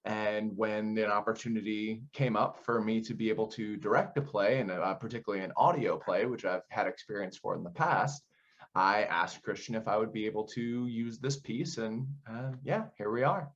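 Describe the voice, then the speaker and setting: A man's voice: on the low side, normal speed, highs cut off. A man giving conversational, explanatory interview answers as a theater director, often posing questions as he goes.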